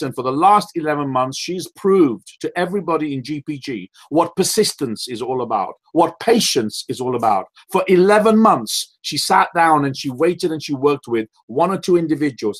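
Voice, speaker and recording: A man with a fairly high voice leads a motivational team call. He speaks emphatically, building his lines toward big introductions and acting out what others say. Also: expressive, normal speed, hardly any pauses, fairly bright tone. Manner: emphatic, preacher-like build-ups; quotes others in acted voices. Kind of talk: motivational speech.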